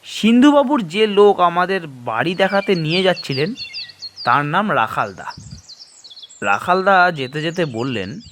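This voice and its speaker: A man's voice: high voice, very animated, unhurried, fairly bright tone, a little hiss or hum. A man narrating a scripted horror story in the first person, in a dramatic storytelling style.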